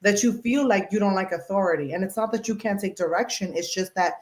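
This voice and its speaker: A woman's voice: medium pitch, quick pace, a little mellow, roomy sound. Woman podcast host, mid voice, emphatic and chatty, with everyday examples. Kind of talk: casual chat.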